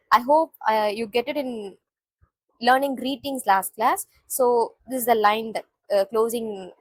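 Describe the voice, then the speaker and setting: Lady teaching beginner japanese, kinda husky, bit high, dry close sound, says "so" a lot. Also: no echo. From India. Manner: repetitive, explains each word slowly with many "so" restarts. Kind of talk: beginner language lesson.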